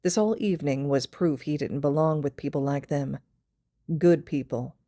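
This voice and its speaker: Woman reading a story out loud, lowish voice, dry recording no room. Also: normal speed, no room sound. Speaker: podfic reader. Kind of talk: scripted story narration.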